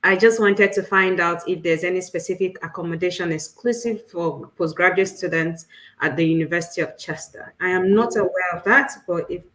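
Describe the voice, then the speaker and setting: A woman's voice: lowish voice, a little fast, a bit bright, poor audio. Woman reading audience questions on a panel. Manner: reads audience comments, self-correcting. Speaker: co-host. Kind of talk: conversational panel hosting.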